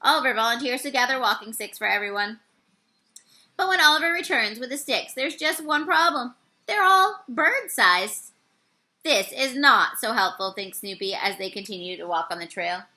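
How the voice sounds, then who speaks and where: A woman reading a children's story aloud, with expressive and carefully phrased narration. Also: on the high side, moderate pace. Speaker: storybook reader.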